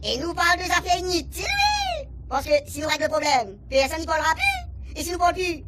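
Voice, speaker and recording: A woman with a Réunionese Creole accent voicing an excitable alien official who rambles on in a radio comedy serial. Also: very high-pitched, brisk, shouting, very noisy, heavily acted. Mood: excited. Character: chattering alien official from another planet.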